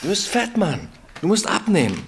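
A man's voice: sits high, sing-song, moderate pace, gravelly, husky, exaggerated voice. An actor playing a blunt, teasing garage boss talking to his friend in a radio drama. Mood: mocking. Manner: blunt, mocking. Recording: guitar music behind the voice.

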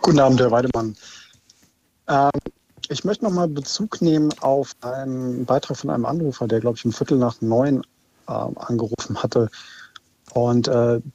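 A man calling in to a radio show, making a firm, deliberate argument with many fillers. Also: mid-range voice, warm tone, slight background noise. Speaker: caller. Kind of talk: phone-in opinion. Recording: dull phone line.

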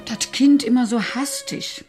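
A woman's voice: on the high side, wildly swooping pitch, a little slow, a little mellow, soft background music. A woman playing a fretting mother at breakfast in a German radio play. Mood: fussing.

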